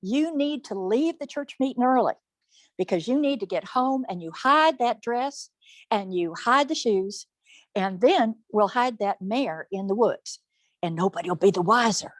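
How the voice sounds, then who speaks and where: A woman's voice: a little high, expressive, unhurried, no room sound. A woman voicing a kindly, sly old granny witch in a folktale, coaxing and giving folksy instructions. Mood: conspiratorial.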